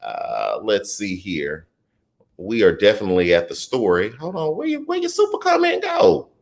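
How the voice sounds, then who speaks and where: A man in his thirties hosts a live political show, talking casually with a lively, swooping pitch. He shifts easily between serious points and playful asides. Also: normal speed, gravelly. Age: 30s. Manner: animated and conversational, drifts into chatty asides and laughs easily. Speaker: political talk show host. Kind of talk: live-streamed political commentary, reading viewer comments.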